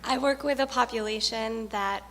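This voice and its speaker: A woman's voice: on the high side, moderate pace, fairly bright tone, slight background noise. A woman asks an earnest, thoughtful question from the audience. Her voice carries a long reverb in a large hall, with faint room ambience behind it. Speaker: audience member who works with a community.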